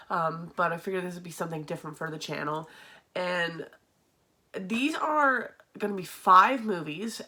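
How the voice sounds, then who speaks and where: A woman's voice: low-pitched, sharp tone. A young woman chatting casually to camera as a horror video host, sounding nasal and stuffy from a sinus infection, with frequent ums and restarts. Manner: chatty, lots of ums and restarts, laughs often. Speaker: horror movie YouTuber.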